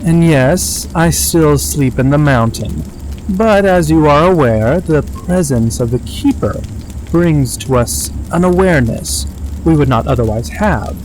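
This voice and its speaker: A man's voice: a little high, animated, unhurried, darkish tone, slight background noise, low-quality recording. A man playing a ghostly young dragon in an audio drama, speaking formally and with condescension to his brother. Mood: lofty, reflective.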